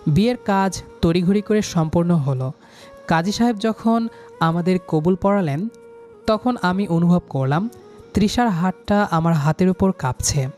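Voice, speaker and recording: A man's voice: sits high, darkish tone. A young man narrating a scripted first-person love story in a dramatic, sentimental manner.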